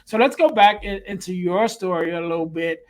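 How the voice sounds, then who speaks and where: A man's voice: sits high, moderate pace. A male podcast host asks a guest about his upbringing in a hesitant, rambling way. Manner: hesitant, full of fillers and restarts while asking. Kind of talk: interview questions.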